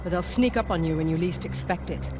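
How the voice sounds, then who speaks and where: An actress playing a guarded, sardonic research scientist in a video game, speaking in a clipped, dry way. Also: female voice, fairly low pitch, fast talker, very noisy, close to an everyday voice. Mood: ominous, guarded.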